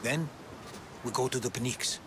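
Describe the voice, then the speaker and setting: A man's voice: mid-range voice, unhurried, a bit bright, slight background noise, close to an everyday voice. An actor voicing a curious male historian, eager and inquisitive in a video game cutscene.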